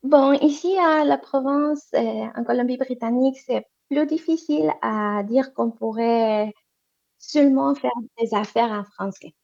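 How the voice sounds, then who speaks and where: A woman's voice: sits high, measured pace, vocal fry, darkish tone. A woman answering interview questions about women's entrepreneurship, speaking hesitantly with many fillers and restarts. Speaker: interview guest, economic development representative. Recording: muffled lo-fi sound.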